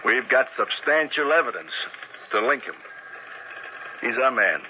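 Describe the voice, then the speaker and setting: An actor in a radio drama playing a brisk, businesslike police sergeant talking on the phone. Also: male voice, medium pitch, animated, noisy background, lightly acted. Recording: tinny old radio broadcast.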